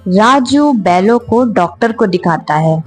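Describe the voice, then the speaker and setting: A woman's voice: medium pitch, animated, a little slow, faint music behind. A woman narrator reading a scripted story for children.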